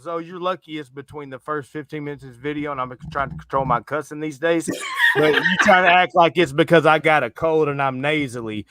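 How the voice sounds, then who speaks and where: A man's voice: fairly high pitch, a little fast, heavy background noise. A man hosting a live sports stream in a loose, slangy, rambling way. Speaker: host. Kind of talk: casual streaming chat.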